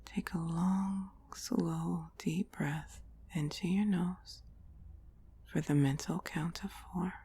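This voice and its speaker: A woman whispering a guided meditation in a breathy, soothing, deliberate voice with long pauses between phrases. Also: fairly low pitch, steady tone, mellow, a little hiss or hum. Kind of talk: whispered guided relaxation, ASMR-like scripted narration.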